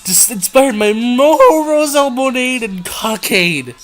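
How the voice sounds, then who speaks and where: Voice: mid-range voice, lively intonation, measured pace, fairly bright tone, faint music behind. A host casually reading fan fiction aloud and rambling off into irreverent, giggly side comments. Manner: giggly, irreverent, easily sidetracked.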